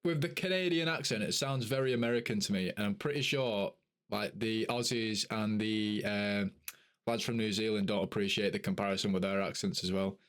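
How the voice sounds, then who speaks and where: A man's voice: lowish voice, a little fast, fairly bright tone. A man hosting a podcast, bantering with a guest and swearing casually. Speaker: podcast host. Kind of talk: casual chat.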